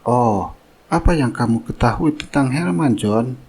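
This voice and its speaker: A man playing a dignified older company boss and father in a cartoon melodrama, husky, measured and authoritative. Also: medium pitch, lively intonation, a little mellow. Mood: concerned.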